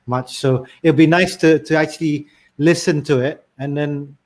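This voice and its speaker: A man's voice: a little high, steady tone, normal speed. A man hosting a casual interview who talks hesitantly and repeats words as he asks questions. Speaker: host.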